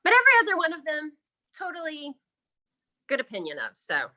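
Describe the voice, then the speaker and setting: A woman from the American Midwest talks as a podcast interview guest. Her voice is bright and expressive, moving freely in pitch, and she speaks in a candid, chatty, casual way. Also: fairly quick.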